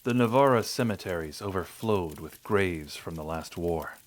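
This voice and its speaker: A man's voice: sits low, lively intonation, moderate pace. A man reading scripted first-person narration for an audio drama.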